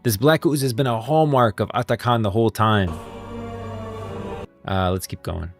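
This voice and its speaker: A man with a very low voice gives casual lore commentary as a stream host. He rambles and stresses his points by repeating them, with music playing behind him. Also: animated, moderate pace. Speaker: livestream host. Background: background music.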